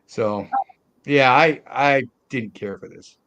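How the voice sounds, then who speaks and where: A man's voice: mid-range voice, slow pace, fairly bright tone, rough recording. A middle-aged man hosting a movie discussion in a loose, conversational way, often saying "you know.". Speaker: host of a movie review show.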